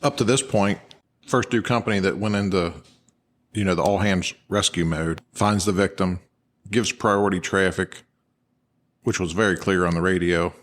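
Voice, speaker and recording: A man's voice: low-pitched, steady tone, moderate pace, a little hiss or hum. A man co-hosting a podcast, explaining and analyzing things in an informal, conversational way. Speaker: podcast co-host. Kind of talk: conversational analysis of firefighter radio traffic.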